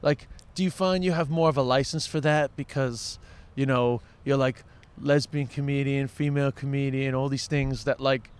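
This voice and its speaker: A man hosting a casual interview, crude and joking, throwing in quick asides. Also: mid-range voice, steady tone, clean tone. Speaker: podcast host interviewing a comedian. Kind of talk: casual chat.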